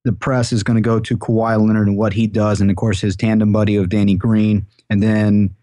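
A man's voice: fairly low pitch, level tone, fairly quick, warm tone, no echo, clean studio sound. A man giving earnest, wordy, conversational sports analysis as a podcast guest.

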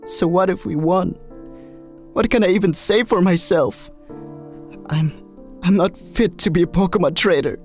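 A man's voice: high voice, moderate pace, long pauses, a little mellow, soft background music. A man voicing a guilt-ridden young male trainer, downcast and self-blaming, in dramatic anime style. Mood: dejected, guilty.

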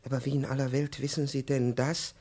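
A man's voice: mid-range voice, little pitch movement, a little fast, mellow, lightly acted. Guy acting a stuffy irritable old-school professor, pedantic lecturing, fifties radio drama. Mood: sheepish, puzzled. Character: pompous, irritable male private professor. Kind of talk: 1950s German radio play acting.

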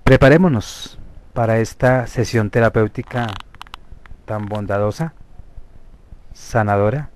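Slow-paced Colombian man guiding hypnosis. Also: on the low side, darkish tone. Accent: Colombian Spanish. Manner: slow, deliberate, pausing between short phrases. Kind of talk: guided hypnosis meditation.

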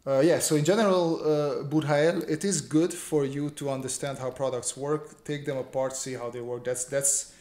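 A man's voice: fairly high pitch, fairly even intonation, normal speed, hardly any pauses, a little mellow. Man streaming in an echoey room. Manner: informal, reads viewer questions aloud and answers. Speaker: livestream host, illustrator. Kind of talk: casual livestream chat while drawing. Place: small indoor home room. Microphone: desk microphone on improvised stand. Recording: roomy echo, faint background noise.

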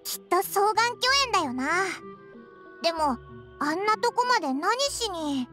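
A tiny flying sidekick from a fantasy game. The voice is extremely high and swoops about, childlike and cheeky, with blunt tomboyish phrasing over music. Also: female voice, animated, unhurried. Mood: curious, doubtful. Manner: blunt, rough casual childlike speech. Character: small floating fairy companion, cheeky, boyish-talking, childlike, loyal. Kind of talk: Japanese game dub dialogue. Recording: music behind voice.

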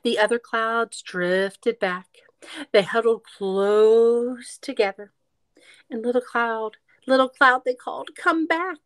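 Slow, higher-voiced woman reading to children. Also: clear, resonant tone, dry recording. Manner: expressive, slow storytelling with questions to young listeners. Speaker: children's story reader. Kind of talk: picture-book read-aloud for young children.

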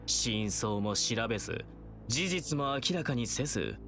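An actor playing a taunting, playful and menacing young male villain in a video game, with a theatrical, teasing, drawn-out delivery. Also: mid-range voice, lively intonation, a bit bright, slight background noise.

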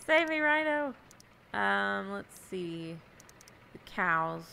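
Young woman streamer on headset mic, chatty and giggly, bright tone with gravelly fry. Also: medium pitch, big pitch swings, very slow, a little hiss or hum. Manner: chatty, giggly, thinking aloud. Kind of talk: casual gameplay chat. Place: home room indoors.

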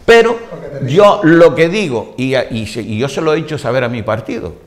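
A man's voice: mid-range voice, expressive, moderate pace. A man in his sixties with a Canarian accent, arguing his point insistently on a TV studio panel and repeating himself to hold the floor. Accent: Canarian Spanish. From Canary Islands. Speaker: debate panelist. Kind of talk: heated political debate. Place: TV studio set. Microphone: lapel mic.

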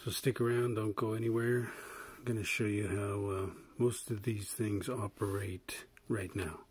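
A lower-voiced man talks through a pocket knife in a casual demo, rambling easily with little chuckles and fillers. He sits indoors at a table with the camera close by. Clear background noise and clicks from handling the tools run under his voice. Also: steady tone, a little slow. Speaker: channel host reviewing a pocket knife. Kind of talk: casual product demonstration.

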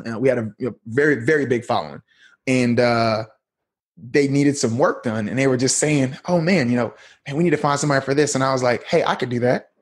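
A man's voice: mid-range voice, brisk, husky. A man in his thirties, a podcast guest, telling his story in a laid-back, slangy way. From United States. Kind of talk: casual interview talk.

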